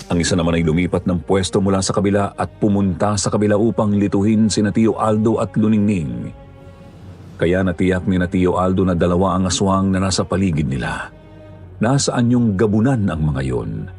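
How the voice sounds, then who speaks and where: A man narrates a scripted horror story in a measured, steady way. His voice sits low. Soft music plays beneath him.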